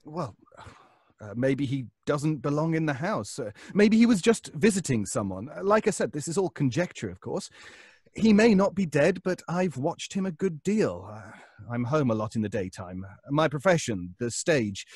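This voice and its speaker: A man's voice: on the high side, big pitch swings, a little mellow, slightly rough, dry recording, clean studio sound. An actor playing an anxious, hesitant, fussy man who stammers, in old-time radio suspense style. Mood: polite, hesitant. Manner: stammering, flustered, halting.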